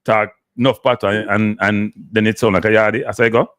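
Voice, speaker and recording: A man's voice: lowish voice, level tone, fairly quick, a bit bright. A man from Jamaica hosting a live sports talk show, steering a caller back on topic. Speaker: host.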